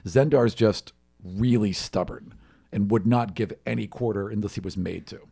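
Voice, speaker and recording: A man narrating a tabletop role-playing game as game master, in a casual, halting, conversational storytelling way. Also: fairly low pitch, gravelly, dark tone, husky. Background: music.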